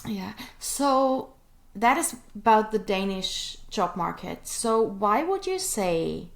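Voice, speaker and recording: A woman hosting a podcast, asking her guest questions in a friendly way with pauses. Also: medium pitch, lively intonation, creaky. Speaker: podcast host. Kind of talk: interview questions.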